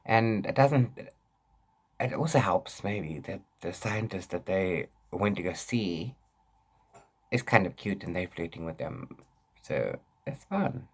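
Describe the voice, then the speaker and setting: A man's voice: low voice, lively intonation, slow, slightly rough. A man talking casually as a book vlogger, rambling and often interrupting himself with repeated words. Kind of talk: casual book chat to camera.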